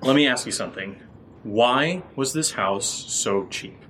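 An actor plays a young man with a medium-pitched voice, an excitable and paranoid amateur sleuth in a horror audio drama. He talks naturally, with a joking streak. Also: a little slow, a little hiss or hum. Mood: excited, insistent.

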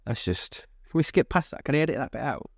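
A man's voice: mid-range voice, lively intonation, fast, creaky, no echo, studio quality. A man, co-hosting a podcast in casual chat, rambling and laughing often. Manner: chatty, laughs often, trails off mid-sentence. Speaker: podcast co-host. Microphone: podcast mic.

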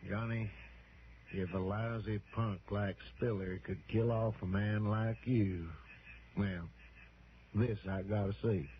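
Folksy sidekick on noisy old radio. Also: male voice, fairly low pitch, steady tone, slow, lo-fi sound. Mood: easygoing, wry. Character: plain-spoken, loyal male partner of a detective. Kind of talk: 1960s radio drama acting.

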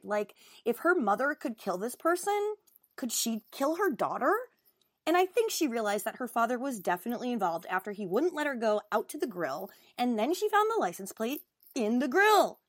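A woman podcast host reads fan mail aloud in a dramatic, deliberate way. Her pitch is middling, and the recording is close and dry, with very little room around the voice. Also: no room sound. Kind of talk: dramatic reading of a fan email.

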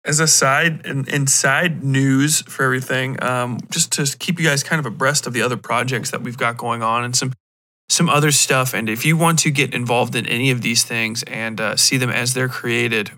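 A man's voice: medium pitch, fairly even intonation, moderate pace, sharp tone. A man hosting a solo podcast in a casual, rambling and self-deprecating way, often wandering off on digressions. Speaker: podcast host.

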